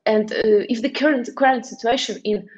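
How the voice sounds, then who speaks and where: A woman's voice: fairly high pitch, fairly even intonation, moderate pace, darkish tone. Belarusian-accented woman presenting, muffled call audio. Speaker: activist speaker. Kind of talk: online conference presentation. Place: small indoor room, on a video call.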